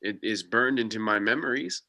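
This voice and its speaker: A man's voice: fairly low pitch, animated, normal speed, sharp tone. A man playing a nervous, sarcastic young paranormal investigator in a live script table read, sounding exasperated and dry.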